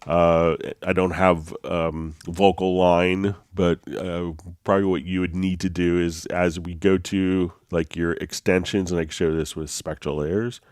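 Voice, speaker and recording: A man hosting a live software tutorial stream, sounding a little congested from a slight cold, talking in an easygoing way with frequent fillers and restarts. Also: low-pitched, little pitch movement, measured pace, few pauses, dry recording, clean studio sound. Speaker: host.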